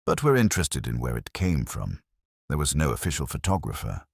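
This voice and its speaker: A man reads as a calm, shrewd male police inspector in an audiobook mystery. The voice is extremely low and deliberate, quiet and courteous, with a dry sound. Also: vocal fry, slightly rough, no room sound, heavily acted. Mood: polite probing.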